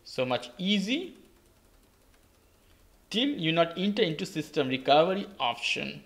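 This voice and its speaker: A man's voice: on the high side, very animated, measured pace. A man hosting a step-by-step tech tutorial in simple, repetitive, non-native English phrasing. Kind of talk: step-by-step how-to narration. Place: indoors at a desk with a laptop.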